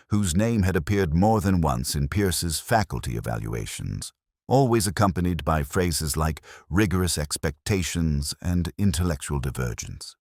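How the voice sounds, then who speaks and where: A middle-aged man narrating a detective story in the first person, in a measured, literary and brooding manner. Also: a bass voice, dry recording, studio quality.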